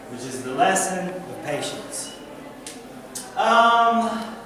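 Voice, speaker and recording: An American man from Missouri giving a storytelling artist talk in a gallery, deliberate, with dramatic repetition and short phrases. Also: sits high. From Missouri, United States. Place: indoor gallery, recorded from a distance. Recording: very echoey gallery hall, distant mic, noisy.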